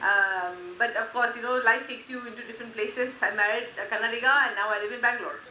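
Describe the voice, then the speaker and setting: A woman's voice: on the high side, fairly even intonation, fast talker, sharp tone. A woman from Malaysia giving a conference talk, conversational with frequent fillers. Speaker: conference speaker. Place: conference hall. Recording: echoey hall, faint hiss.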